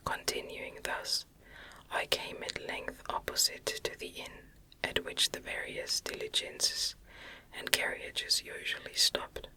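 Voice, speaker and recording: Female ASMR audiobook narrator: whispers throughout, slow and careful phrasing, close on the mic. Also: rough recording. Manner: soft, slow, deliberate delivery with careful phrasing. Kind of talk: ASMR whispered reading of a classic novel.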